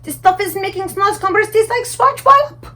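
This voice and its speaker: A woman voicing an excitable, childlike storybook giant who exclaims in muddled grammar and invented words. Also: extremely high pitch, normal speed, pitched above the performer's own voice. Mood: amazed, eager.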